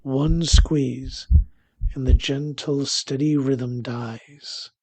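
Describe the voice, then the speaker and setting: A man telling a scripted horror story in the first person, in a theatrical, deliberate way. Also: mid-range voice, steady tone, a little mellow.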